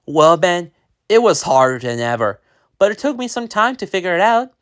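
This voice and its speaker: A reader voicing an earnest young schoolboy in a homemade spoken story, pitched well above their own voice. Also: very animated, normal speed, fairly bright tone, clear, resonant tone. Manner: earnest read-aloud delivery. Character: excited young boy, proud and loyal.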